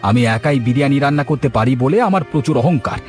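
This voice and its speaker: A man's voice: medium pitch, fast talker, a little mellow, soft background music, lightly acted. A man voicing a proud, stubborn village biryani cook in a cartoon, with boastful, scornful, emphatic delivery. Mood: scornful, irritated. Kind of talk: cartoon voice acting in a village comedy.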